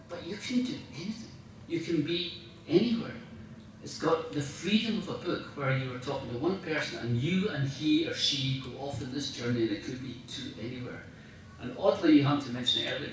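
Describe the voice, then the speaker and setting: A man giving an informal after-dinner talk as a writer, speaking with enthusiasm and emphasis and often searching for his words. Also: mid-range voice. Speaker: guest speaker, radio dramatist. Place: large indoor room at a club dinner. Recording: very echoey room, distant mic, faint noise.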